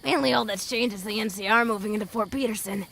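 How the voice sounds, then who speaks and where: A woman playing a centuries-old female ghoul, a gruff, jaded wasteland guide with a creaky voice and a blunt, dry manner, in an audio drama. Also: mid-range voice, fast, fairly bright tone, a little hiss or hum. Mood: wry. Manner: blunt, dry, world-weary.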